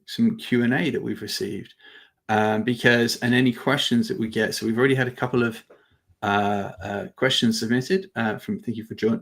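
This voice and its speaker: A man giving an informal live software demo as a webinar presenter, halting and thinking aloud as he goes. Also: medium pitch.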